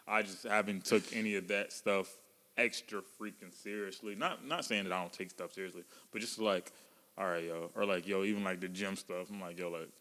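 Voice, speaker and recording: Man, around 30, podcast co-host, loose joking slang, low pitch, long reverb. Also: steady tone, brisk, bright, forceful tone. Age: 30s. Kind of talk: casual chat.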